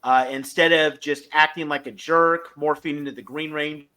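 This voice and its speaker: A man co-hosting a podcast, explaining a story in a casual, halting review style with emphatic stresses. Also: a little high, fairly even intonation, bright, forceful tone. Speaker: podcast co-host.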